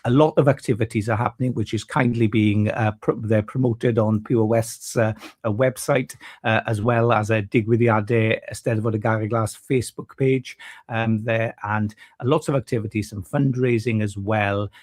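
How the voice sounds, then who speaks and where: A man's voice: lowish voice, fairly even intonation, normal speed, darkish tone. A man, a guest on a radio show, talking about a festival with many fillers and restarts. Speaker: festival committee vice chair. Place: radio studio.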